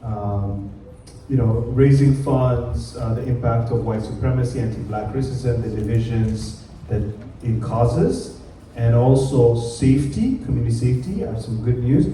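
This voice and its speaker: Man from Kenya, panel speaker: earnest, emphatic, dark and mellow voice, heard from afar in a reverberant hall. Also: medium pitch, unhurried, slight background noise. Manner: earnest, emphatic, with frequent fillers and restarts. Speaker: community panelist. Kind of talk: public speech at a summit panel. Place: large conference hall. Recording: echoey hall, voice from far off.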